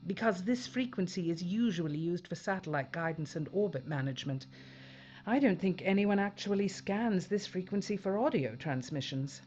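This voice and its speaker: A woman playing a weary, determined spaceship pilot and commander in a science fiction audio drama, brisk and businesslike with a wry edge. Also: on the low side, animated, a little mellow, lo-fi sound, a little hiss or hum, natural voice. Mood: measured, wry.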